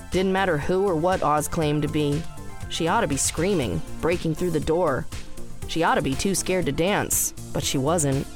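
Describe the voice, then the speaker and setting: A woman reading scripted story narration for audio fiction. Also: sits low. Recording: roomless dry studio, faint music underneath. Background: faint music.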